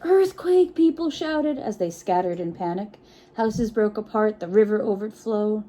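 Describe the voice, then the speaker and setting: A woman reading a picture book aloud to children in an expressive, encouraging way, with chatty asides and frequent check-in questions. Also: mid-range voice, unhurried. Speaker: children's storytime reader.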